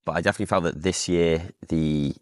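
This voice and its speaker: A man's voice: deep voice, steady tone, fast talker, clear, resonant tone, no room sound. A man chatting casually on a podcast, telling anecdotes as an off-road driver.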